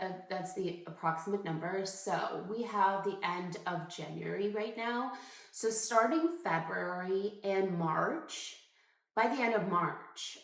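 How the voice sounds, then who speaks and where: A woman's voice: mid-range voice, a bit bright. A woman, chatting casually to camera in a vlog and thinking aloud with fillers and restarts. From Ukraine. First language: Ukrainian. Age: late 20s. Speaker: vlogger. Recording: echoey room.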